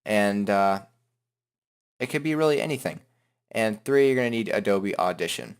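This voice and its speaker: A man hosting a software tutorial in an informal, joking, self-deprecating way. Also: lowish voice, lively intonation, moderate pace, clear, resonant tone. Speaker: tutorial host. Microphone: headset microphone.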